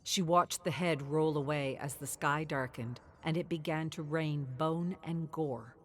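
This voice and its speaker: A woman reading dark fantasy lore as scripted narration, measured and grave, slowing down on the key words. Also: low voice, a little hiss or hum.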